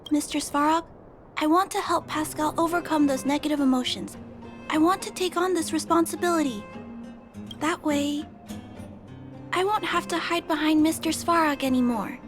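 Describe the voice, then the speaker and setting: A woman voicing a gentle, earnest young girl in a video game, polite and resolute. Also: high voice, moderate pace, music in the background, close to an everyday voice. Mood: earnest, concerned.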